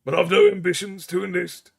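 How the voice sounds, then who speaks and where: Man as easygoing mercenary, friendly, slightly higher voice. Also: fairly even intonation, brisk, gravelly. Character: easygoing male mercenary, grinning.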